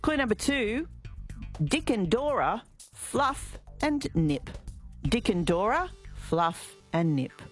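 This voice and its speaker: An Australian woman presenting local radio in a relaxed, chatty way, repeating quiz clues and a phone number. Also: a little high, takes it slowly, soft background music. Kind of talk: casual local radio chat.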